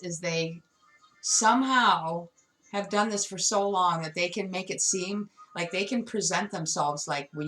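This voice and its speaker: A woman's voice: fairly low pitch, fairly bright tone, low-quality recording. A woman giving a tarot card reading, talking straight to the viewer with emphatic, repetitive phrasing. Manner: emphatic, repeats words, addresses viewer directly. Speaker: tarot reader.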